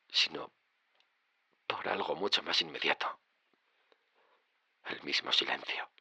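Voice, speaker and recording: An actor playing a haunted driver recounting his dread in a horror audio drama, with suspenseful pauses. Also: pushed and bright, dry recording, exaggerated voice. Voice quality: low, gravelly. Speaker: narrator and lead character. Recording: dramatic music under the voice. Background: music.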